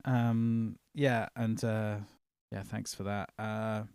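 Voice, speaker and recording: A man with a fairly deep voice co-hosting a casual livestream chat, making jokey asides and reading out viewer comments. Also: flat delivery, slow, a little mellow, clear, resonant tone, no echo, clean studio sound. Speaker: co-host.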